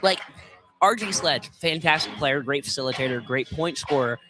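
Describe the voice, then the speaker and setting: A man's voice: a little high, normal speed. A man analysing a live basketball game on radio, explaining plays in a conversational, tentative way. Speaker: color commentator for basketball. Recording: noisy live gym broadcast.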